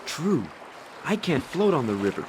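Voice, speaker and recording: A young actor playing a vain, boastful fairy-tale hero who talks in a brash, impatient way in a game. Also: male voice, a little high, big pitch swings, moderate pace, very noisy. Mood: arrogant. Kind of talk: game voice acting.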